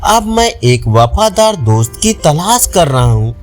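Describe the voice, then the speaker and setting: Slow, coaxing sly jackal acted by a man. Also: on the high side, sing-song, faint music behind, voice pushed down. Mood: pleading. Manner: coaxing, ingratiating. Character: sly flattering male jackal feigning lonely friendship.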